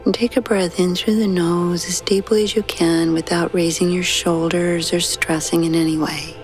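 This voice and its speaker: A woman guiding a sleep meditation in a slow, soothing, hushed manner with long pauses. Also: lowish voice, little pitch movement. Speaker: meditation guide. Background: soft background music.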